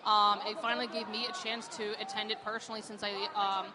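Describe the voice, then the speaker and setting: A woman's voice: medium pitch, level tone, quick pace, heavy background noise. A woman from Pittsburgh, a cosplayer, chatting enthusiastically in an event interview with many fillers. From Pittsburgh, USA. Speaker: cosplayer guest.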